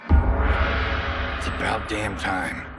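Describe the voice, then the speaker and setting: An actor playing a vain, cocky Hollywood martial arts star in a video game, brash, sarcastic and showy. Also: male voice, lowish voice, little pitch movement, very slow, loud music behind the voice. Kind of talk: cinematic video game voice acting.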